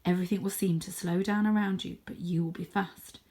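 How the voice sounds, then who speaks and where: A woman reading a children's story aloud in a dramatic manner, dropping to a hushed near-whisper for suspense. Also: fairly low pitch, steady tone, brisk, a little hiss or hum.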